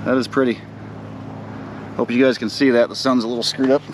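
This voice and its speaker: A man in his forties with an ordinary mid-pitched voice talks outdoors by a river, picked up by a camera set a few steps away. He keeps up a casual running chat to his viewers and turns eager and urgent when coaching a child to reel in. A faint outdoor hum sits behind him. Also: a little fast. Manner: excited, urging a child to reel in; chatty asides to viewers. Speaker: fishing vlogger, father. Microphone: camera on the bank, a few steps away.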